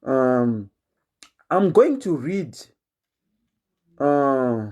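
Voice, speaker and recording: A Zimbabwean woman hosts her own show, talking straight to her listeners in a casual, storytelling way. Her voice is expressive and animated, rising and falling a lot as she chats, with a sprinkling of "eh" between thoughts. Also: a bass voice, very slow. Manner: animated, chatty storytelling with frequent "eh" fillers. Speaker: host.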